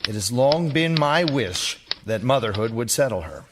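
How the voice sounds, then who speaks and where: An actor playing a formal, presumptuous Victorian doctor in a radio drama, reading out a letter with declamatory assurance. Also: male voice, mid-range voice, expressive, normal speed, lightly acted. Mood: condescending, assured. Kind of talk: theatrical radio drama acting. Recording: noisy live theater recording.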